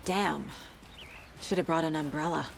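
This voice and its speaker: A composed female federal investigator in a crime game. Her voice is low and creaky, with a wry, clipped, analytical delivery. Faint noise sits behind her. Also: a little slow. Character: composed, dry-witted female FBI agent investigating murders. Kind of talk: video game dialogue and investigative monologue.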